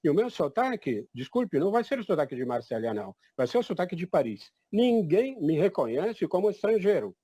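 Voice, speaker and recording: A man's voice: sits high, big pitch swings, a little fast, few pauses, warm tone, husky, dry recording. An older man born in Egypt, giving an emphatic, declarative personal testimony at a public talk. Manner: emphatic, declarative, repeats "definitivamente". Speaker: event participant.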